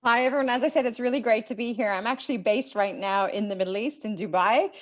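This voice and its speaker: A woman's voice: on the high side, fast. A woman from Canada speaking on a video-call panel, explaining fluently with frequent fillers. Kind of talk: panel discussion.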